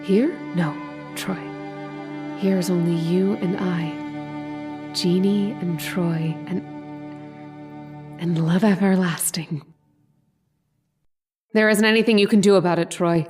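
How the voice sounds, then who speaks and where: A woman's voice: lowish voice, steady tone, slow, pauses often, over music. A woman playing a possessive, adoring dream lover, tender, coaxing and insistent, in an old-time radio horror drama. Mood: possessive, hushed.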